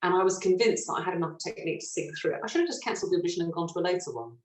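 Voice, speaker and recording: A middle-aged woman from Britain, a stage actress telling lively, self-mocking stories in an interview and laughing often. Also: fairly low pitch, rapid-fire. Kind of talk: relaxed anecdotal interview chat. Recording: roomy echo.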